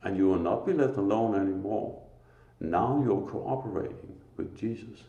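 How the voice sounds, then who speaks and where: A man with a Danish accent speaks slowly and earnestly about faith, pausing often between phrases. His voice is very low, gravelly and mellow. The recording is echoey, as in a bare room. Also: dull audio. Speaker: lay preacher. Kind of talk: informal spiritual talk.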